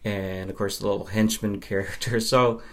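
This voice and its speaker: A man's voice: fairly low pitch, fairly even intonation, moderate pace. A man from Nova Scotia, Canada, chatting casually as an interview guest and film fan.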